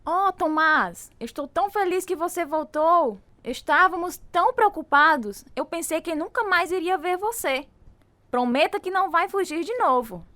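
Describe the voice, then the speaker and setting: A devoted, anxious wife in a radio drama, her voice high and Brazilian-accented. She speaks in a pleading, reassuring way. The sound is close and very dry. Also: lively intonation, moderate pace, sharp tone, dry recording, full character voice. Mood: relieved, caring. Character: caring, anxious, encouraging wife of a troubled man.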